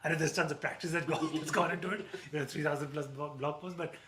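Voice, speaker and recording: A man's voice: on the high side, steady tone, fast talker, pushed and bright, slight background noise. A man co-hosting a livestream who asks long, winding questions full of fillers. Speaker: co-host. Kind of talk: conversational livestream interview.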